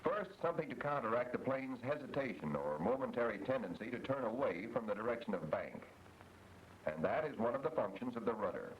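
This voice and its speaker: An American man reading scripted instructional narration in a 1940s training film style, measured and didactic. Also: mid-range voice. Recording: muffled old film soundtrack, loud noise.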